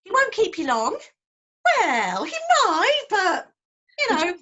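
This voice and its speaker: A woman's voice: extremely high pitch, huge pitch swings, takes it slowly, bright, forceful tone, full character voice. An actress playing a cheeky, breezily snide female receptionist, reading a script aloud on a video call. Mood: breezy, teasing. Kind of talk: acted script table read.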